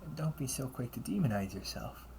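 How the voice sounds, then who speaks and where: A man playing a composed, shrewd demon hunter who questions in a measured, deliberate, probing way in an audio roleplay. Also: mid-range voice, animated, gravelly, a little hiss or hum. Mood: calm, probing. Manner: measured, deliberate, probing, with pauses and hushed phrase endings. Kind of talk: audio roleplay, scripted character monologue addressed to listener.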